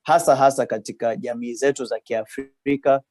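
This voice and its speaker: A man's voice: medium pitch, steady tone, normal speed, a little mellow, no room sound. Man on a dry video call. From Tanzania. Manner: hesitant, many fillers, switches between Swahili and English. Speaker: radiologist guest speaker.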